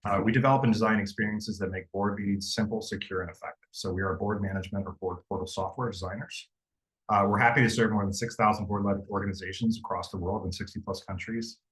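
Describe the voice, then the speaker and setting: Casual low-voiced male webinar host. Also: quick pace, a bit bright, rough recording. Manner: casual, many fillers. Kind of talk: webinar introduction. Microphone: video call.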